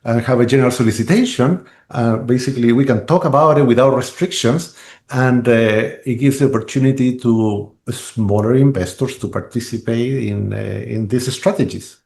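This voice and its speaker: A man's voice: mid-range voice, moderate pace, creaky, darkish tone, echoey room. A man, a guest on a podcast interview who rambles with restarts and keeps asking "right?". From Chile.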